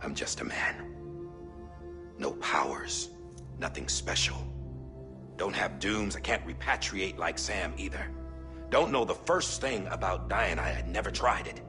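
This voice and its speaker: Grave director, very deep voice, lively inflection, loud score behind. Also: male voice, music in the background. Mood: resigned. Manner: grave, measured confessional monologue. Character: grim middle-aged male director, resolved. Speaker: agency director recording a confession. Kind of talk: cinematic video game cutscene acting. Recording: game score playing loudly behind.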